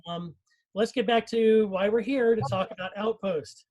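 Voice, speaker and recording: A woman's voice: mid-range voice, moderate pace, darkish tone. A woman hosting a live online cast panel, moderating casually and reading out audience questions. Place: live online group stream.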